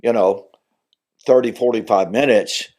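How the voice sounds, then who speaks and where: A man's voice: on the low side, measured pace, a little mellow. Older man with a strained voice. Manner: earnest, building to emphatic insistence on key points. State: weakened voice recovering from voice loss. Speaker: minister and video teacher. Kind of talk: Bible teaching monologue.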